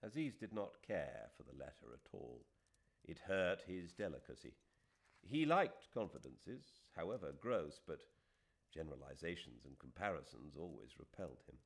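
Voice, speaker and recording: A man with a British accent reading literary fiction as an audiobook narrator, with dramatic, deliberate phrasing. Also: rumbling low, very animated, vocal fry. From Britain.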